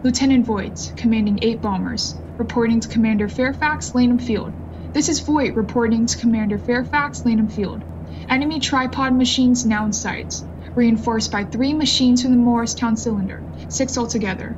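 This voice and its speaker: A woman's voice: fairly high pitch, moderate pace, natural voice. A woman playing a military bomber pilot, making a formal, clipped radio report in a radio play. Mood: businesslike, tense. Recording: dull recording under loud engine noise.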